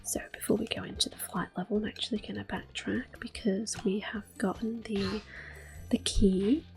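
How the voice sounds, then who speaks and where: A woman giving soft-spoken, often whispered ASMR commentary on a video game, in a gentle, hushed manner. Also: medium pitch, steady tone, moderate pace, gravelly. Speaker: ASMR gaming host.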